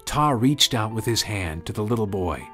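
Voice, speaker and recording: A man's voice: on the low side, animated, moderate pace, a bit bright. A man reading a scripted children's storybook narration. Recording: music behind the voice. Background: background music.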